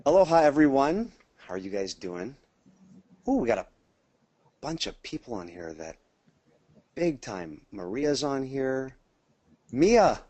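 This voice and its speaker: A man's voice: mid-range voice, big pitch swings, unhurried, pauses often, darkish tone, no echo. Chatty American man, dry close sound. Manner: informal, chatty, addressing attendees directly. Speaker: sales team trainer hosting a webinar. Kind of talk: casual webinar presentation.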